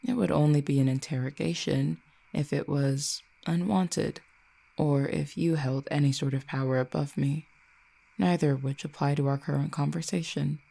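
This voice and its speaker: Casting: ancient cupid for audio roleplay, possessive and haughty, dark mellow tone with gravelly fry, deliberate teasing menace performer acting it out. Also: mid-range voice. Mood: smug, intimidating. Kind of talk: scripted audio roleplay, acted monologue.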